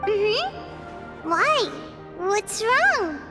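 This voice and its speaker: A woman voicing a small childlike deity in a video game, gentle, earnest and polite, with a light, girlish voice. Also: very high voice, very animated, extremely slow, music in the background, exaggerated voice. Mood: curious.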